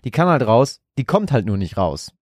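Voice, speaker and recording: A man's voice: mid-range voice, very animated, moderate pace, a little mellow, no echo. Casual man, dry close sound. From Germany. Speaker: podcast co-host and storyteller. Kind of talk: casual chat.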